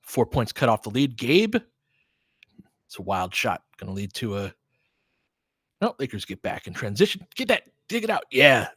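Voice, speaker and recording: American male fan-stream sports host, bright forward voice, very dry sound, informal and opinionated play-by-play. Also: mid-range voice, no echo. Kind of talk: basketball play-by-play mixed with casual fan chat.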